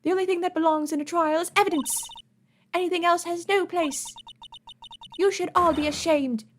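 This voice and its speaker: A woman's voice: steady tone, moderate pace, slight background noise. A woman doing a haughty little-boy prosecutor voice. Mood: stern. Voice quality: high-pitched, fake accent. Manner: stern, lecturing. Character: young boy Edgeworth, prim and haughty. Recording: game music behind.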